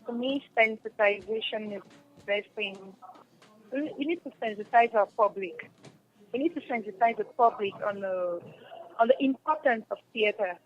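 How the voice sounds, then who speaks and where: A woman's voice: fairly high pitch, normal speed, lo-fi sound. A woman with a Cameroonian accent answering as an interview guest, speaking earnestly and repeating phrases for emphasis. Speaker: stage and radio drama actress, interview guest. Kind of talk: radio interview, spontaneous answers.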